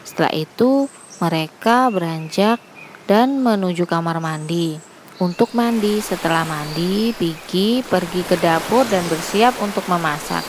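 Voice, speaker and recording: A woman's voice: fairly low pitch, a little slow. Woman narrating children's fable over noisy ambience.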